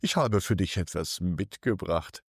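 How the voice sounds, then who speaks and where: A man voicing a soft-spoken, cheerful talking snow crystal in a bedtime story. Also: low voice, measured pace, slightly rough, dry recording, studio quality, exaggerated voice. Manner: soft, friendly.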